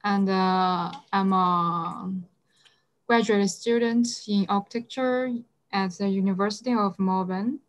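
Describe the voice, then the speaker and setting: Slow, careful woman from China. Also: medium pitch. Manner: careful, unhurried. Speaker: graduate student. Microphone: video call.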